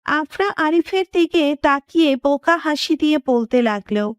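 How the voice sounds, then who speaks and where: A woman narrates a scripted romantic story. Her voice sits high in pitch. The recording is very dry, with no room sound around her. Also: animated, a little slow, no room sound.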